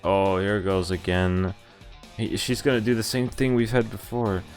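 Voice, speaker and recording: A woman's voice: extremely low pitch, flat delivery, normal speed, a little mellow. A woman streamer reading visual novel text aloud expressively, dropping in casual, sweary asides of her own. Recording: game music behind the voice. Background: visual novel background music.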